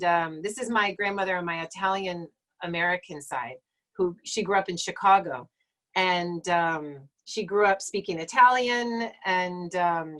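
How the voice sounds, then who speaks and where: Woman, late forties, Egyptologist on a home live stream; relaxed and digressive delivery with lots of fillers. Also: fairly low pitch, low-quality recording. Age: late 40s. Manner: chatty and digressive, many fillers, self-corrects. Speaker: Egyptologist giving a talk and Q&A. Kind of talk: casual lecture and Q&A on a live stream.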